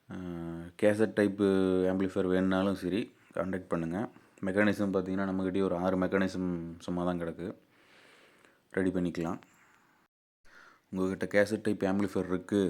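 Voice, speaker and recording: A man's voice: deep voice, a little mellow. A man with a Tamil Nadu accent giving an informal, plainly explained product walkthrough as an amplifier builder addressing his viewers. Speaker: amplifier builder and repairer presenting his video channel.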